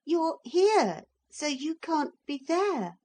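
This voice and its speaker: A woman voicing a desperate high young boy. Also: big pitch swings, takes it slowly, warm tone, exaggerated voice. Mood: pleading. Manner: eager, desperate questioning. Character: anxious pleading young boy. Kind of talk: storybook reading.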